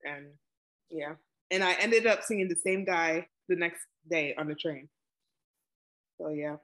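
A woman's voice: fairly low pitch, a little slow. Young Brooklyn woman on a video call. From Brooklyn, New York. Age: 20s. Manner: relaxed, with frequent ums and likes. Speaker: interviewee. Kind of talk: casual oral history interview.

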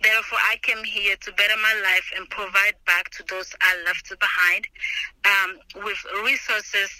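A young woman, her voice very bright and tense. She speaks earnestly and thoughtfully, often pausing on "um" and restarting. The sound is dry and close over a video call. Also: medium pitch, level tone, no echo, rough recording. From Senegal. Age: 20s. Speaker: interviewee, student. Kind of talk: oral history interview. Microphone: remote video call.

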